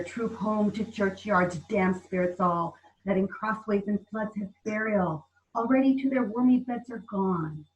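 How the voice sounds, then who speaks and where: A woman's voice: mid-range voice, darkish tone, lightly acted. Muffled and a little far from the mic, a woman plays a mischievous goblin servant of the fairies. The verse is theatrical and teasing, as in an amateur Shakespeare reading on a video call. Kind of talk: Shakespeare table read in verse.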